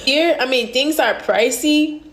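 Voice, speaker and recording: A woman's voice: sits high, unhurried, a little hiss or hum. Laid-back young woman vlogging. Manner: relaxed, full of "like" and "you know". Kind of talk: casual chat to camera.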